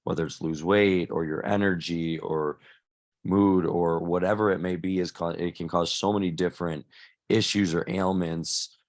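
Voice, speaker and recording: A man's voice: deep voice, steady tone, moderate pace. A man, a fitness coach, casually introducing a live workshop on a video call, rambling with many restarts and fillers.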